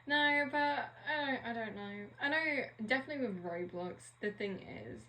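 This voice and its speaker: A woman co-hosting a podcast in casual chat, hoarse from losing her voice, chatty and quick to argue a point. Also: on the high side, expressive, pushed and bright, a little hiss or hum. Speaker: podcast co-host.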